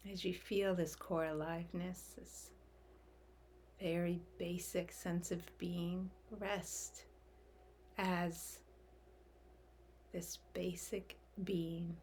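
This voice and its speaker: Female meditation guide, slightly low voice, very slow and gentle, soft delivery, faint noise behind. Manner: gentle, unhurried, calming, with long pauses.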